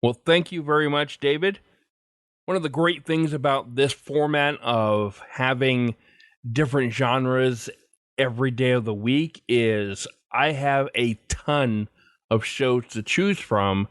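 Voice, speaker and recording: A man's voice: medium pitch, slow, fairly bright tone, clear, resonant tone, no echo, studio quality. A man hosting a podcast, talking directly to listeners as he goes through messages and show announcements. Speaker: podcast host.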